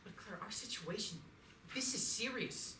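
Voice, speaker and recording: Woman playing a stubborn defensive middle-aged husband, bright voice, swoops up and down, roomy theatre sound from far off. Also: mid-range voice, expressive, normal speed, a little hiss or hum. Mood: anxious. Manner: stage reading with sudden cracking outbursts. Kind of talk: staged play reading. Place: theatre auditorium. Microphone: distant stage pickup. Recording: echoey theatre taped from far off.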